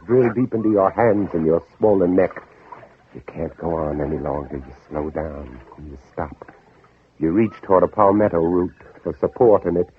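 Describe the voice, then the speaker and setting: An actor playing a hunted, guilt-ridden Southern gentleman who narrates his own flight in a theatrical old radio drama. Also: medium pitch, slightly rough, natural voice. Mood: mounting terror. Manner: theatrical, dramatic, intense delivery. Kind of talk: 1950s radio drama, second-person narration. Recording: muffled old radio broadcast recording.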